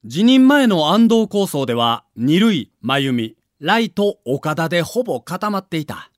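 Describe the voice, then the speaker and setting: A man's voice: a little high, big pitch swings, unhurried, no echo, clean studio sound. A man reading a scripted documentary narration with a theatrical storyteller's delivery.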